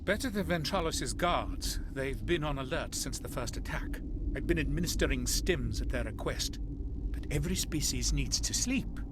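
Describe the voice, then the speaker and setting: A fairly high male voice with clear noise behind it, an actor as a strained scientist in a sci-fi game. He is earnest and worried, explaining carefully. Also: animated, moderate pace, close to an everyday voice. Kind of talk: video game dialogue acting.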